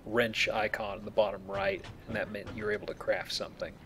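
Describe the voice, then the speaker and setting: A man's voice: on the low side, very animated, a little fast, gravelly, sharp tone, a little hiss or hum. A man giving casual, explanatory gameplay commentary as he plays a video game. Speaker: let's player playing and explaining the game.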